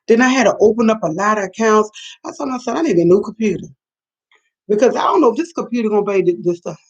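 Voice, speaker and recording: A woman's voice: medium pitch, lively intonation, fast talker, breathy edge. Woman, fifties, late-night livestream host, rambling talk to chat, dull lo-fi sound. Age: 50s. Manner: rambling, chatty, reacts to viewer comments.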